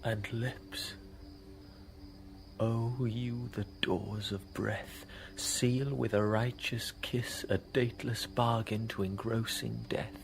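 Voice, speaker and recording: An actor playing a grieving young lover bidding farewell to life, in slow, solemn classical radio drama style. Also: male voice, medium pitch, steady tone, a little hiss or hum. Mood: solemn grief. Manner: slow, solemn, breathy pauses between phrases.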